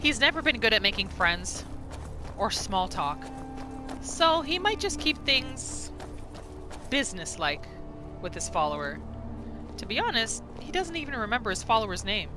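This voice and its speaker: A woman gaming host narrating a roleplay playthrough in a casual, expressive, playful storytelling style. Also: fairly high pitch, moderate pace, pauses often, over music.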